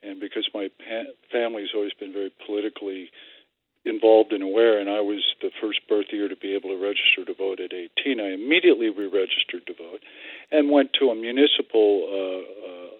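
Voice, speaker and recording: An older man calling into a radio show, telling a story in a measured way. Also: on the low side, dry recording. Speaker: caller. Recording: phone line.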